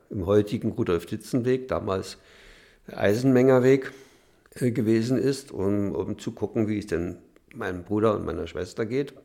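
Older male witness, gravelly, hesitant, unscripted recollection. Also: lowish voice, mellow. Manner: halting, with fillers. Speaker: witness recalling childhood. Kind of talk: hesitant spoken memories.

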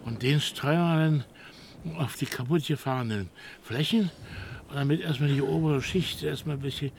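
Guy acting a grumbly blunt village man in a radio play, highish voice, windy noisy outdoor sound. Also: normal speed, close to an everyday voice. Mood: practical. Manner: blunt, exasperated, colloquial. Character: gruff, grumbling village man taking practical charge in crisis. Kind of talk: German radio drama acting.

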